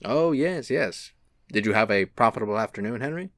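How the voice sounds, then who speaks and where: A man playing a suave, serene angel in a radio-style drama, reassuring and gently evasive. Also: medium pitch, expressive, brisk, dry recording, clean studio sound, natural voice. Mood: gently chiding. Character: suave, serene male angel posing as an assistant. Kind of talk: film-adaptation audio drama acting.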